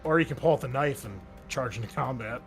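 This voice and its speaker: A man's voice: medium pitch, fast talker, over music. A young man narrating combat as game master, loose and chatty, with many ums and uhs. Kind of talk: narrating a tabletop game over voice chat.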